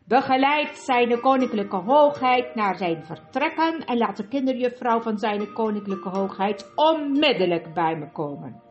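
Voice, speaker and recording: A woman voicing a cold, stern king who scolds loudly and commands. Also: animated, takes it slowly, hardly any pauses. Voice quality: booming, harsh. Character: stern, cold-hearted king, a grown man. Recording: dull lo-fi with faint music.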